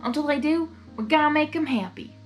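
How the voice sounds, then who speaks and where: A woman's voice: high voice, a little fast, voice pushed up, close to an everyday voice. A woman voicing a kindly, plain-spoken cowgirl pony with a folksy country drawl, in a storybook reading. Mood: earnest, helpful.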